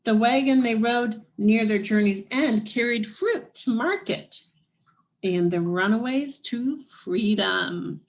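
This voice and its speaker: A woman reading a children's picture book aloud as scripted narration, in deliberate, measured phrases with dramatic pauses. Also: fairly high pitch. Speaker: storybook reader.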